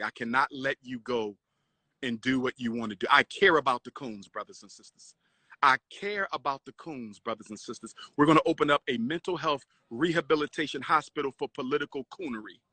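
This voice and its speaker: Man, preachy and mocking, forceful bright tone, dry close sound. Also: mid-range voice, no room sound. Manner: preachy, mocking, repeats "brothers and sisters".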